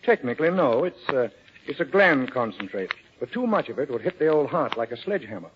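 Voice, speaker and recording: An actor playing a matter-of-fact middle-aged physician in 1940s radio drama, genial and gently bossy. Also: male voice, mid-range voice, big pitch swings, brisk, close to an everyday voice. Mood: concerned, dry. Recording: muffled, noisy 1940s radio broadcast recording.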